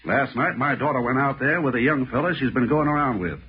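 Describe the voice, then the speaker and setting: An actor playing a stern, suspicious American small-town mayor, curt and accusing, in 1940s radio drama style. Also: male voice, mid-range voice, fairly quick, breathy edge. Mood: stern, firm. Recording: dull, noisy old radio recording.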